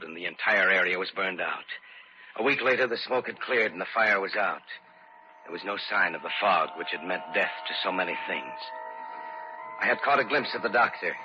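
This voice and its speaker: A man's voice: low-pitched, close to an everyday voice. An actor playing a resolute man leading a desperate stand in an old-time radio drama. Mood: grave, resolute. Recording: muffled, noisy old radio recording.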